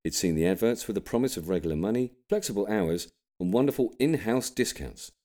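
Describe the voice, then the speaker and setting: A British man reading an audiobook, delivering scripted comic narration in an expressive storytelling manner. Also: on the low side, fairly quick. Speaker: audiobook reader.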